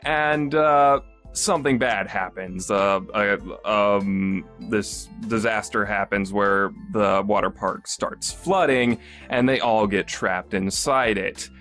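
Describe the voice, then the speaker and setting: A man giving a casual spoken review on a podcast, rambling with fillers and restarts and jumping up in pitch on stressed words. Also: slow pace, fairly bright tone, faint music behind.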